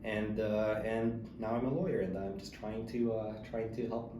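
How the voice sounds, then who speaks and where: A man answers interview questions in a polite, casual way. His voice is on the low side and he speaks hesitantly, often repeating words. The room is echoey, with clear background noise. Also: flat delivery, normal speed. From Philippines. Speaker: interview guest, lawyer.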